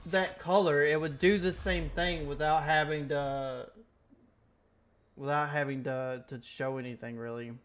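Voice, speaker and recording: Bright-voiced rambling young man streamer. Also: fairly high pitch, steady tone, unhurried. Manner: rambling, self-correcting, musing aloud. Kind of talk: casual gaming stream chat.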